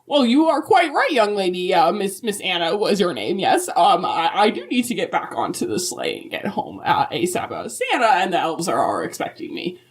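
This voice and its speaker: A woman's voice: mid-range voice, big pitch swings, moderate pace, few pauses, lightly acted. A woman playing a kindly, prim, folksy Mrs. Claus in a holiday interview, with genteel, dithering, old-fashioned phrasing. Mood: sweet, gracious.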